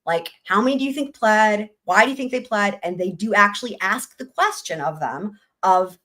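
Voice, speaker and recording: A woman hosting a casual livestream, excited and rambling, her voice going hoarse. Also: medium pitch, moderate pace. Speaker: livestream host.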